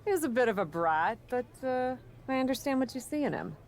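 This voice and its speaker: Defensive, dry-witted wrestler. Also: female voice, a little high, sing-song, a little fast, slight background noise. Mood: cool, businesslike. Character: brutal, defensive female pro wrestler with dry wit. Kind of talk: video game cutscene acting.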